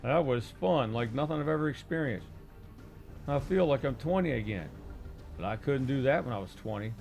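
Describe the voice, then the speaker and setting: A calm, wry old man, as a male narrator voices him, understated and dry. The voice is gravelly with soft music underneath. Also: medium pitch, moderate pace.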